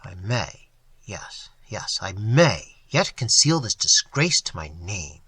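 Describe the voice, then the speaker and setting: A man's voice: lowish voice, sing-song, slow pace, sharp tone, slightly rough, no room sound, pitched below the performer's own voice, exaggerated voice. A man reading a stern, menacing older judge who delivers cold, commanding threats. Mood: low, brooding.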